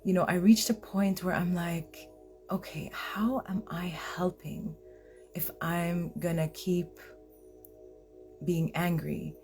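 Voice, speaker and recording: Woman talking slow and earnest on a live vid, keeps restarting her sentences, deep-ish soft tone. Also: steady tone, faint music behind. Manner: earnest, hesitant, with frequent restarts. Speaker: host of a live video. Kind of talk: heartfelt personal live-stream talk.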